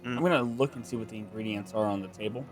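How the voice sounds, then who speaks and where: A man's voice: on the low side, quick pace, faint music behind. A man chatting casually as a player in a tabletop role-playing game.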